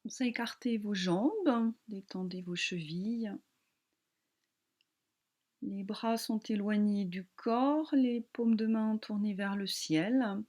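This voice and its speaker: A woman yoga teacher guiding a relaxation meditation in a calm, gentle, soothing manner with long pauses. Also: medium pitch.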